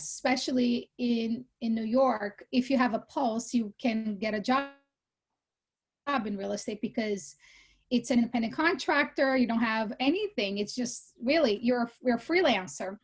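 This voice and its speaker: A woman in her thirties from Brazil, a real estate executive, telling her story as an interview guest in a lively conversational way, correcting herself as she goes. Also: a little high, unhurried. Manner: animated storytelling with laughs and self-corrections. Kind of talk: casual interview chat.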